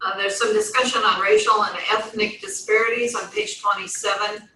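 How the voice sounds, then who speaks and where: A woman's voice: medium pitch, fairly even intonation, brisk, pushed and bright. A woman teaching a law class, lecturing and quizzing students one after another. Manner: questions students in turn. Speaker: law instructor. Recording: echoey room, distant mic, faint noise.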